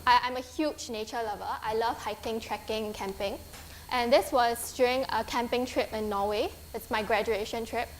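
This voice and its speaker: A woman's voice: a little high, moderate pace, slight background noise. Young Singaporean woman giving a talk. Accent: Singaporean English. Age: 20s. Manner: friendly, earnest, with frequent ums and restarts. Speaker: guest speaker. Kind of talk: conference talk with slides. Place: lecture hall.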